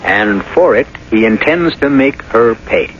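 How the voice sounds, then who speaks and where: A man playing a grave, moralizing mystery host, narrating in measured, deliberate old-time radio style on a muffled 1970s broadcast with music behind. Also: medium pitch, animated, a little hiss or hum. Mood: grave, ominous.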